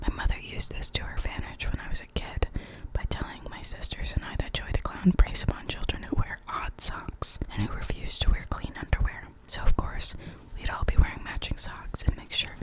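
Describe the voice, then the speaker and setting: An ASMR storyteller reading spooky local legends in a soft, unvoiced whisper, now and then stumbling and correcting themself. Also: poor audio. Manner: soft unvoiced whisper throughout, with small slips and self-corrections.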